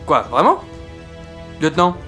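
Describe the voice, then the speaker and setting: A man's voice: a little high, huge pitch swings, unhurried, bright, forceful tone, faint music behind, full character voice. A man playing an ambitious, indecisive French First World War captain who hesitates and gets defensive. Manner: hesitant, defensive, self-important. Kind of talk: amateur comedy audio drama.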